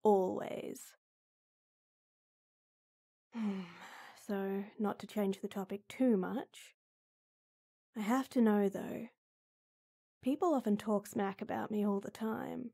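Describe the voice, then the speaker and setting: A woman playing a gruff but caring tomboy best friend in an intimate audio roleplay, blunt and exasperated, with frequent sighs. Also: medium pitch, takes it slowly, pauses often, dark tone. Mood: annoyed, musing.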